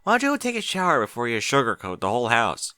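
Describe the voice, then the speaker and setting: A man's voice: mid-range voice, wildly swooping pitch, fast, pushed and bright, no echo, clean studio sound. A man voicing a wisecracking young male dragon with a sarcastic, teasing manner.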